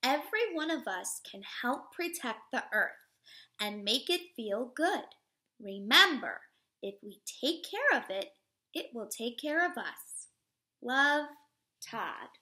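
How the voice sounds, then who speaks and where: A woman in her thirties, a teacher reading a picture book aloud to young children in an expressive, instructive way. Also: on the high side, slow pace. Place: living room at home, indoors.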